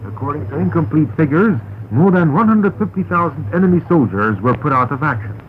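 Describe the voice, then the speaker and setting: A man reads a formal scripted news bulletin as a radio newsreader, in a measured, carefully enunciated way. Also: fairly high pitch, expressive. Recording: noisy narrow-band shortwave radio recording.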